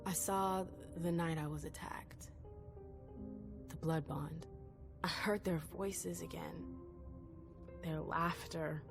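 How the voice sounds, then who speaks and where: A woman's voice: deep voice, steady tone, takes it slowly, gravelly, long pauses, heavily acted. A woman playing a stubborn, wounded female vampire in a video game, speaking in a cool, skeptical, insistent way. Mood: troubled, hesitant. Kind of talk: video game cutscene dialogue acting. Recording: game music underneath. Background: background music.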